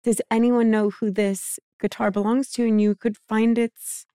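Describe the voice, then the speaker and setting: A woman's voice: on the high side, little pitch movement, moderate pace, mellow, clear, resonant tone, no room sound. An American woman co-hosting a podcast in casual banter, with dry, teasing interjections. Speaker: podcast co-host.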